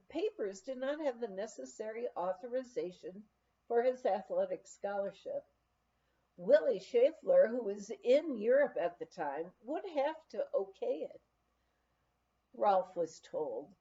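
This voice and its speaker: Woman, audiobook reader, mellow tone, very animated intonation, emphatic storytelling, slightly dull lo-fi recording. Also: a little high, measured pace. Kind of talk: reading a memoir aloud.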